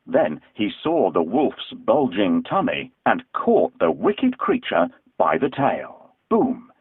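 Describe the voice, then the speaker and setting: A man narrating a children's fairy tale from a script in an expressive storytelling manner. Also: medium pitch, takes it slowly, gravelly, husky, no echo. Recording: muffled telephone-like narrow band.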